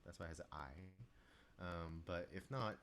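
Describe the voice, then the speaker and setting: Very low-voiced young man rambling. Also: measured pace, fairly bright tone, slight background noise. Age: young adult. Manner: rambling, self-talk with restarts and asides. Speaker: composer streaming live. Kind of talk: casual live-stream commentary while composing. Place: small room indoors. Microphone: desk microphone, wearing headphones.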